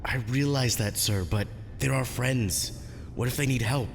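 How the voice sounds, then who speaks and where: A man's voice: mid-range voice, unhurried, close to an everyday voice. A man playing a loyal military pilot-general in a fan audio drama, earnest, deferential and a little hesitant. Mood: pleading. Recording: faint noise, long hall reverb.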